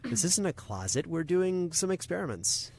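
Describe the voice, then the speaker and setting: A man's voice: high-pitched, sing-song, normal speed, vocal fry, darkish tone, slightly rough, full character voice. A man playing an eager, insistent colleague in an audio drama. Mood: coaxing, evasive. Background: music.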